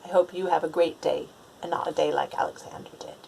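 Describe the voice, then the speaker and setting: A woman storyteller talking to children in an expressive picture book read-aloud style, with emphatic pauses. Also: low voice, vocal fry, slight background noise, rough recording.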